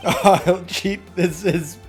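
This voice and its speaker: A man's voice: sits high, steady tone, drawn-out words, soft background music. A man co-hosting a game stream, laid-back with brief reactions and casual commentary. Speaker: streamer co-host.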